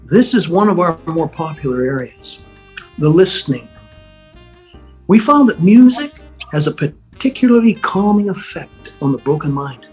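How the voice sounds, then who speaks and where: An amateur actor playing a genial, self-satisfied asylum doctor in a table read, formal and measured. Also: mid-range voice, expressive, darkish tone, natural voice, poor audio. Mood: smooth, proud. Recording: video call with music underneath. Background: music.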